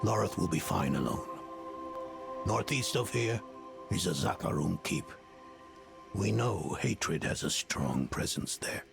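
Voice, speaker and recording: An actor playing a burdened, grumbling old mystic in a dark fantasy game, with a gravelly voice and brooding, halting manner. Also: male voice, sits low, expressive, full character voice. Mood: reluctant, brooding. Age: older. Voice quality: gravelly, breathy at times. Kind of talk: dramatic video game dialogue. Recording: dramatic game music underneath.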